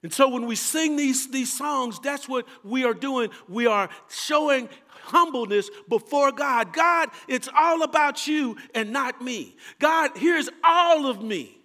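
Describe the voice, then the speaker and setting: Texan male pastor, high and bright, fervent preaching that drops to hushed asides, long hall reverb. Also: lively intonation, slow, hardly any pauses. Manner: impassioned preaching, swinging between loud calls and quiet asides. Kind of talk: sermon.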